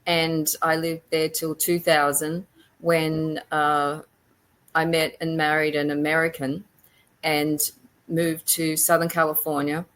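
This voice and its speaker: Low-voiced Australian woman, reflective guest. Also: little pitch movement, slow pace, fairly bright tone. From rural Australia. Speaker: podcast guest. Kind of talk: casual interview chat.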